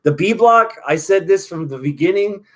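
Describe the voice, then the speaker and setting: A man's voice: high voice, expressive, normal speed, fairly bright tone. A man with an American accent hosting a wrestling podcast, giving opinionated, profane analysis in a casual chat.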